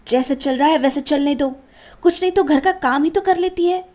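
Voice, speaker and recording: Woman as a sly, scheming young sister-in-law; high voice. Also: brisk, a little mellow, natural voice. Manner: sly, persuasive.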